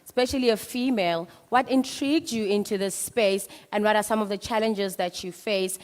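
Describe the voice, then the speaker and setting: A woman moderating a conference panel, upbeat and encouraging toward young people. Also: medium pitch. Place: conference hall. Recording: reverberant hall.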